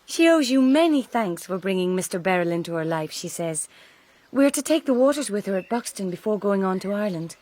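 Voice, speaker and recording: Actress as a frank, dignified young gentlewoman. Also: medium pitch, animated, a little fast, no echo, close to an everyday voice. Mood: composed. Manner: formal, composed. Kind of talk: period radio drama acting.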